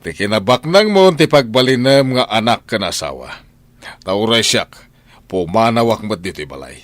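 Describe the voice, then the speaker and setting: A man's voice: lowish voice, animated, moderate pace, a bit bright, no room sound. A man playing an exasperated older father in a radio drama. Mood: exasperated, scolding. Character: exasperated older father scolding his wife over money.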